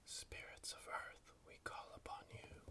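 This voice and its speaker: Slow whispering witch acted out for ASMR. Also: close to an everyday voice. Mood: solemn, reverent. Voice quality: breathy unvoiced whisper. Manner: slow, solemn, chanting, ceremonial. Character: solemn witch leading a dark coven ritual invocation. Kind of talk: whispered ASMR roleplay, scripted incantation. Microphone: close binaural microphone, ear to ear.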